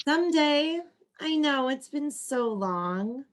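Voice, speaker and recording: Casting: actress as agreeable young grad, high voice, slow delivery, earnest and perky, comic stage reading. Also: female voice, expressive. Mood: earnest, content. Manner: girlish slang, emphatic. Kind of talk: online play reading, comedic dialogue.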